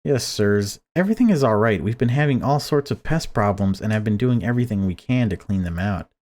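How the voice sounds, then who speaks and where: A man's voice: fairly low pitch, animated, a little fast, a little mellow, natural voice. Visual novel mother read by a man: cruel, controlling, polite on the surface. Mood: falsely sweet, weary. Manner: polite excuses and curt commands. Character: cruel controlling mother, falsely sweet to outsiders.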